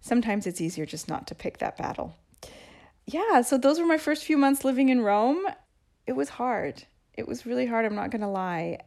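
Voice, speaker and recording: A woman's voice: a little high, expressive, moderate pace, vocal fry, darkish tone. A woman podcast host telling her own stories in a casual, chatty way, often laughing as she talks. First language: English. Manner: chatty, laughing through anecdotes. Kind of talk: casual storytelling monologue.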